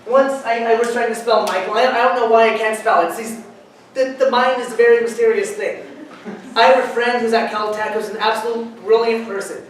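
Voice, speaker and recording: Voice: mid-range voice, fairly even intonation, brisk, distant mic, a little hiss or hum. Chemistry lecturer rambling thru a class, lots of side jokes, very echoey big hall, mic way off. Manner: chatty, digressive, joking asides.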